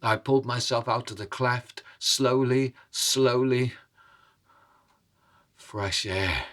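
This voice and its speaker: A man telling a tale as a storyteller, in a measured, theatrical way with suspenseful pauses. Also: medium pitch, a bit bright. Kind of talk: dramatic oral storytelling over music. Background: instrumental music.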